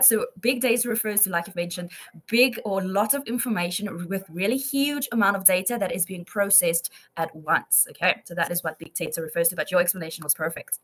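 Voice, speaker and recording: Bright-voiced young woman teaching English online. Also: medium pitch, brisk. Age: 20s. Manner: encouraging, affirming. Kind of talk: teaching a one-to-one online lesson.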